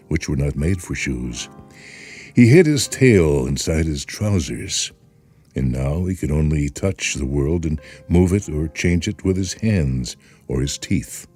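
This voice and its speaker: A man reading a story aloud in a measured, expressive way. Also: extremely low pitch, breathy edge. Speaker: narrator. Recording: music bed under the voice.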